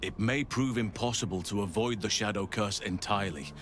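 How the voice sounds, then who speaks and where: A man voicing a composed, cautious counsellor in a fantasy video game, speaking in a measured, formal way. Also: fairly low pitch, background noise, lightly acted. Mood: cautioning. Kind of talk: video game character acting.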